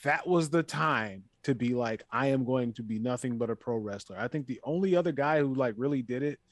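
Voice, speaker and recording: A man's voice: medium pitch, fairly quick, dry recording. A man chatting casually on a podcast, hedging and restarting his sentences often. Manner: rambling, hedging, many restarts. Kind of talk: casual chat.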